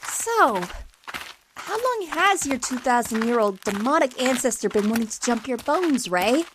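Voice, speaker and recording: A woman's voice: on the high side, big pitch swings, a little slow, noisy background. A woman playing a feisty, loyal young female warrior in an audio drama, brash and blunt. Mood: wry.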